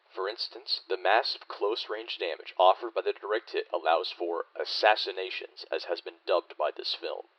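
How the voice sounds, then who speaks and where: A man playing a formal, measured narrator of a 1940s wartime training film, through an old microphone filter. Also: fairly low pitch, no room sound, lo-fi sound. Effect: old microphone radio filter.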